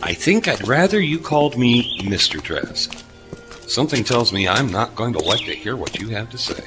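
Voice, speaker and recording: An actor playing a gruff, weary former starship captain turned repairman in a sci-fi audio drama, dry, curt and sardonic. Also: medium pitch, very animated, normal speed, loud music behind the voice, close to an everyday voice. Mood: sardonic, guarded. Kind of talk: science fiction audio drama acting.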